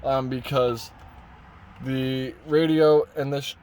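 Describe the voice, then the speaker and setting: A man's voice: medium pitch, steady tone, slow, a little mellow, poor audio. Rambling casual man with cabin noise behind. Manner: informal, rambling, thinking aloud. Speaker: vlogger presenting a truck radio install. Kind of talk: casual hands-on tutorial talk. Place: inside a parked pickup truck cab.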